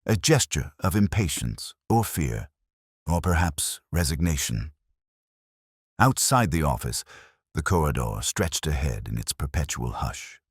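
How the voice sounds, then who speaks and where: Very deep-voiced male mystery narrator. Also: very animated, husky, dry recording, clean studio sound. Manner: measured, literary, solemn storytelling. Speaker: audio drama narrator. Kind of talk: scripted first-person detective story narration.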